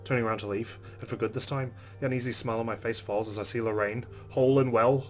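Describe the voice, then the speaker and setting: A man's voice: mid-range voice, fairly even intonation, fast, soft background music. A man hosting a let's play, reading visual novel narration aloud and adding casual asides. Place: recorded voice-over with game music behind.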